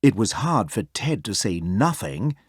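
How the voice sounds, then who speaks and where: A man reading a children's story aloud as narrator, expressive and clearly phrased. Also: lowish voice, unhurried, dry recording, clean studio sound. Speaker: storybook reader.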